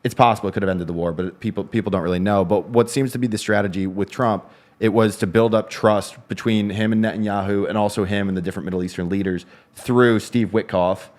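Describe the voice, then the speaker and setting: A man talking politics at a rapid clip, his voice sitting fairly low. He rambles, restarts his sentences and circles back as he explains. It is a relaxed podcast conversation between co-hosts. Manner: fast, rambling, frequent restarts. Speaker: co-host. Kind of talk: casual political discussion.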